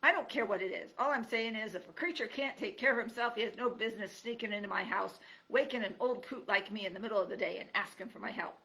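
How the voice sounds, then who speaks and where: A woman's voice: mid-range voice, fast talker, creaky, hardly any pauses, pushed and bright, poor audio. A woman voicing a grumpy old male porcupine who bellows and screeches insults in a storybook reading. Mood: gruff scornful.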